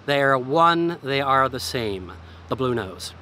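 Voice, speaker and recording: A man in his sixties guiding a walking tour, speaking in a measured way and listing details with emphasis, recorded outdoors by a harbor. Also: medium pitch, animated, pushed and bright. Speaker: tour guide. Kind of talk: guided walking tour narration. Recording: faint outdoor background noise.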